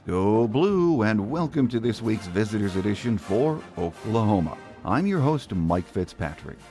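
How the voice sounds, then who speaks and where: Low-voiced man hosting a sports podcast. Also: big pitch swings, moderate pace, warm tone, soft background music. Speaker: podcast host. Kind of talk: scripted sports podcast narration.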